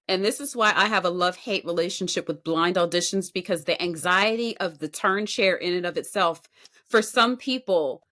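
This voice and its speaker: A woman with a bright, forceful voice co-hosts a podcast. She gives unscripted commentary on music performances, reasoning things through and repeating words for emphasis. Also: lowish voice. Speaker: podcast co-host.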